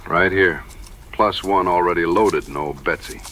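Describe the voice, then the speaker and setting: A man playing a seasoned, calm frontier hunter in an audio drama, measured, plain-spoken and determined. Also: sits low, slight background noise. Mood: tense, planning.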